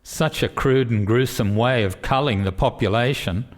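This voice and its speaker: A probing, disapproving alien committee man, acted by a man in a radio play. His medium-pitched voice puts questions formally and pointedly. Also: normal speed, close to an everyday voice.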